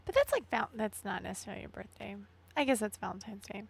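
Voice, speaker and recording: A woman's voice: medium pitch, sing-song, fast talker, gravelly, husky. A young woman co-hosting a podcast, upbeat and laughing often as she steers the chat with questions. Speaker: podcast co-host. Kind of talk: casual chat.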